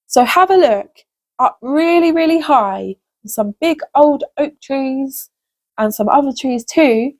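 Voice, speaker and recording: Slow, lively, high-voiced woman storyteller. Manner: expressive, simple direct address to children. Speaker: host reading children's stories. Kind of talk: storytelling for young children.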